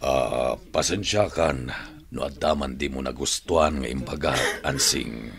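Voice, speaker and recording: A man playing a grateful, gentle jailed man in an Ilocano radio drama, speaking in a measured, earnest way. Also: a bass voice, vocal fry, a crowd in the background, heavily acted. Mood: puzzled.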